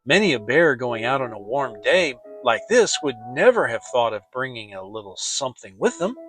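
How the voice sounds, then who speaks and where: A man's voice: mid-range voice, very animated, normal speed, a bit bright, faint music behind, voice pushed down. A man voicing a kindly, simple-minded, honey-loving toy bear in a storybook, earnest and naive. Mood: musing.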